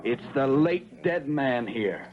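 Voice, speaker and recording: An actor playing an eager, clever young small-town lawyer hero in a 1970s radio drama, telling things in a folksy, theatrical way. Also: male voice, medium pitch, expressive, slow, highs cut off. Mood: explaining excitedly.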